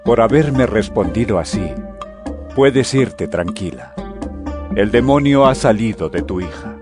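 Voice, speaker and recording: An actor playing a solemn, authoritative male teacher and healer in a dramatized scripture audio drama, speaking in a measured, deliberate way. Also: on the low side, lively intonation, music in the background. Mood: firm, gentle.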